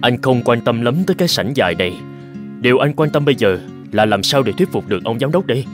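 Male actor as earnest cartoon agency boss, polite and persuasive, lively intonation, music underneath. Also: mid-range voice, fast talker, lightly acted. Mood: explaining. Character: earnest, persuasive young-company boss, loyal to his trainee. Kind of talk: dubbed cartoon dialogue.

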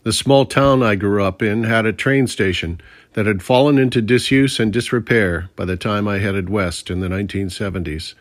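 A man's voice: on the low side, normal speed, no room sound. An American man in his sixties, a poet, speaking from a prepared text in a reading style. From Pittsburgh, Pennsylvania, USA. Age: 60s. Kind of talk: prepared introduction and poetry reading.

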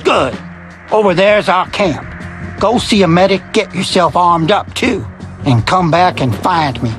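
A cocky frontier militiaman for a video game, pitched high for a man. He is gruff and blunt, tossing out folksy slang, with music behind him. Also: animated, measured pace, a bit bright. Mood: hearty, blunt.

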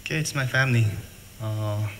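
A middle-aged man from the United States presenting at a seminar, speaking plain, halting English and repeating words. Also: mid-range voice, a bit bright. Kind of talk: conference presentation. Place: hotel function room. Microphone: table mic, filmed from afar. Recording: reverberant hall, faint noise.

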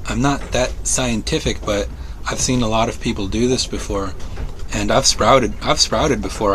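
A man with a fairly low voice talks casually to the camera, stopping often for ums and starting his sentences over. He is recorded outdoors on a boat, with a clear hum of engine noise behind him. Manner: halting, many ums and restarts. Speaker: vlogger.

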